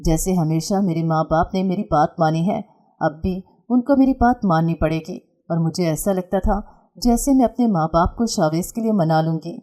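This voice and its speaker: A woman narrating a first-person dramatic story in a measured, emotional storytelling manner. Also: on the low side, dark tone.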